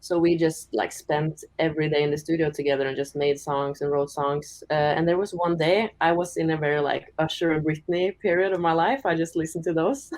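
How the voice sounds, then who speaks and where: A young woman from Norway with a deep voice, chatting casually as a singer-songwriter in an interview, full of "like" fillers. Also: a little fast. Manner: relaxed, chatty, many "like" fillers, giggles often.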